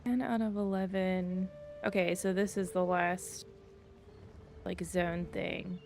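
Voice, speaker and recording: A woman's voice: lowish voice, level tone, slow, a little mellow. A woman game streamer giving casual live gameplay commentary and chatting with viewers. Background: game music.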